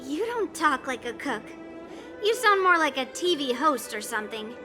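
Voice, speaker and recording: A woman's voice: high-pitched, very animated, moderate pace, music in the background. A woman voicing a proud, blunt, self-assured young girl fighter in a video game. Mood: teasing, curt.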